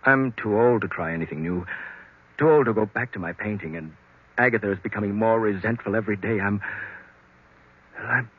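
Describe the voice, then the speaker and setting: A defeated, gentle old uncle in a radio mystery. His low voice is rough-edged and hesitant, heard through a tinny vintage recording. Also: brisk, pauses often. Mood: defeated, resigned. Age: older. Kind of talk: 1940s radio mystery acting.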